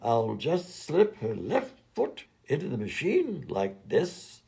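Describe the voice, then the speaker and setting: A man's voice: medium pitch, wildly swooping pitch, slow pace, gravelly, warm tone, exaggerated voice. A man playing an absent-minded, fussy and polite mad doctor and inventor in a comedy radio play. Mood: breezy, fussy.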